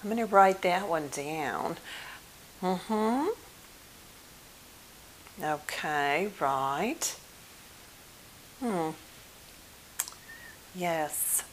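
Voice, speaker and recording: Slow-talking, lowish-voiced woman, ASMR therapist role play. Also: expressive, poor audio. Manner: hesitant, halting, gently polite, with murmured acknowledgements. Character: polite, mildly flustered female psychotherapist, patient and attentive. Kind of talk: ASMR role play, improvised one-sided conversation. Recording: clear background hiss.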